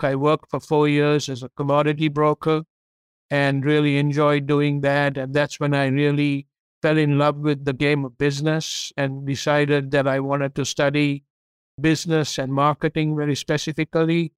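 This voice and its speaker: A middle-aged man from Sri Lanka, a company CEO and podcast guest, telling his story in a steady, earnest way. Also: a little high, normal speed, smooth, no room sound, clean studio sound. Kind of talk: interview storytelling.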